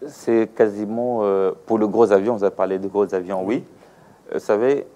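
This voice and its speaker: A man's voice: on the low side, fairly even intonation, normal speed, warm tone. A man with a Congolese French accent giving didactic, explanatory interview answers as an aviation expert, using examples and rhetorical questions. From Democratic Republic of the Congo.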